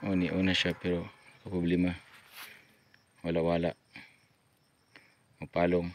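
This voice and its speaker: Deep-voiced Filipino man, flat casual delivery. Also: slow pace. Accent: Cebuano Filipino. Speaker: radio repeater technician and vlogger. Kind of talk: casual on-site vlog commentary while working. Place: hilltop radio repeater site. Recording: noisy on-site amateur recording.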